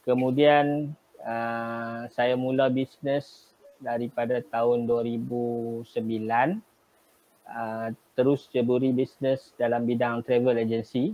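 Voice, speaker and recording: A middle-aged man with a northern Malaysian Kedah accent talks through his story at a measured pace, pausing often. His voice sits at an ordinary pitch for a man. The sound is dull and lo-fi, like a live stream call. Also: flat delivery. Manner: measured, with frequent pauses and fillers. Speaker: guest, travel agency business owner. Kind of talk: remote interview storytelling.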